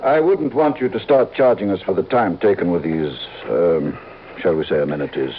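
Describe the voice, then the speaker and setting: A man's voice: sits low, moderate pace, a little hiss or hum, natural voice. A man acting a fussy, stingy, anxious insurance executive in a mid-century radio drama, prim and insistent. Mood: prim, testy. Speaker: supporting actor in a radio drama. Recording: tinny, band-limited old radio recording.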